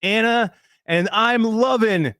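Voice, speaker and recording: A man of fifty hosting a live show in casual chat, reading out greetings with excitement. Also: high voice, takes it slowly, clear, resonant tone, no room sound, clean studio sound. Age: 50s. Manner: excited, animated chat shout-outs. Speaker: host.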